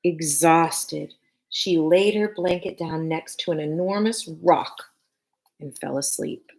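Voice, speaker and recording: A woman teacher reading a picture book aloud to children expressively, with short phrases and casual asides. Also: sits low. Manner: addresses young listeners, pausing between short phrases.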